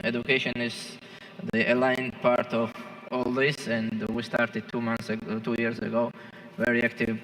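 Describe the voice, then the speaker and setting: A man who is not a native English speaker, giving halting, impromptu remarks as a conference panelist. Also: mid-range voice, fairly bright tone. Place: large conference hall. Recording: echoing hall with long reverb.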